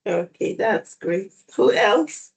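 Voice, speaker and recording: A woman hosting an online exam prep session over a video call, welcoming people in a measured way. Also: mid-range voice, lively intonation, husky.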